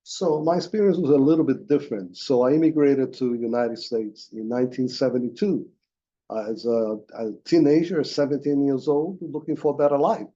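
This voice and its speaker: Male panelist in his 60s, very dark mellow tone, muffled lo-fi audio. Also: medium pitch, fairly even intonation, moderate pace. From Brazil. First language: Portuguese. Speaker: panelist, veteran DJ. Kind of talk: storytelling at a panel.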